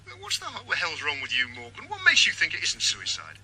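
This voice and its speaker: A curt police detective in a radio play, brushing off an old ex-colleague. He talks quickly in a very bright voice with a lot of pitch movement. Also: huge pitch swings, heavily acted, rough recording. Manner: curt, dismissive. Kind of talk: 1980s radio drama acting.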